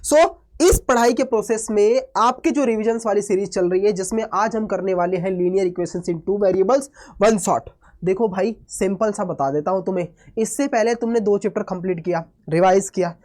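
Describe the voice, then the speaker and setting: A man teaching an online maths lesson, hoarse from a cold, energetic, mixing Hindi with English phrases and constantly checking in with students. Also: high-pitched. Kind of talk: online exam-prep lesson.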